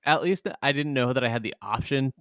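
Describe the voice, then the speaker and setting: A man co-hosting a gaming podcast in casual chat, animated and free with swearing. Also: medium pitch, brisk, clean tone, no echo, studio quality. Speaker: podcast co-host.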